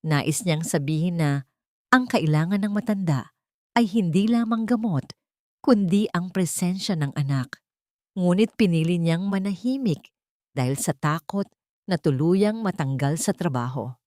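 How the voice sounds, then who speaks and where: A woman's voice: fairly low pitch, expressive, a little slow, dark tone, no echo, clean studio sound. A woman reading dramatic scripted narration as the storyteller of an audio drama.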